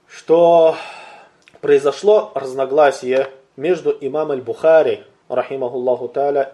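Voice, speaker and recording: A man giving a religious lecture, asking and answering his own questions and slowing down to stress key points. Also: mid-range voice, mellow. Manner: addresses listeners as brothers. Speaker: religious teacher.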